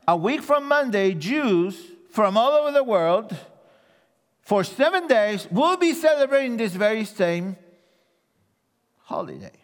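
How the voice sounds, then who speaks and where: A man from Argentina with an Argentine Spanish accent, preaching a sermon and telling humorous stories, dropping his voice low for the punchlines. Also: big-room sound. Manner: animated storyteller, drops voice low for punchlines. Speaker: guest preacher.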